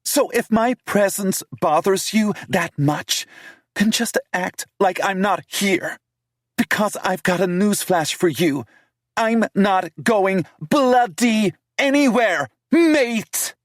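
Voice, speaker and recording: A man's voice: mid-range voice, lively intonation, normal speed, dry recording, clean studio sound, voice pushed up, natural voice. A man voicing a prickly, defensive young woman in an audiobook, speaking in a raised, emphatic way. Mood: indignant. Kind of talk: audiobook character voice.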